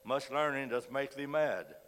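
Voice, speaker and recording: A man with a cold, hoarse as he preaches a sermon, reads scripture and says frequent amens. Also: medium pitch, lively intonation, unhurried, fairly bright tone. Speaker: guest preacher. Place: church sanctuary. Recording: long reverb of a church hall.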